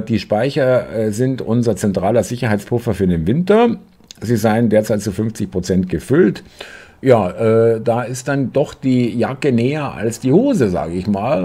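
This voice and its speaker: A man in his forties talks at his desk in a fairly low, husky voice with a dark, mellow tone. He comments sarcastically on the news and reads articles aloud. He hesitates often and fills the gaps with drawn-out filler sounds. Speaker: political commentary vlogger.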